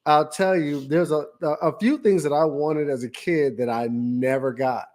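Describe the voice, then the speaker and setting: This is a man in his fifties with a drawl who co-hosts a podcast. He talks in an easygoing, joking way and stretches his stories out. His voice sits a touch high for a man. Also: a little mellow. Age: 50s. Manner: easygoing, joking, drawn-out storytelling. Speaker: podcast co-host. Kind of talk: casual chat.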